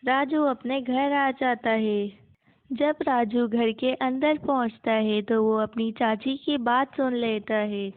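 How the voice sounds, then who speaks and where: A woman's voice: a little high, takes it slowly, no echo. A woman narrating a scripted children's moral story as the storyteller. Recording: muffled, narrow phone-like sound.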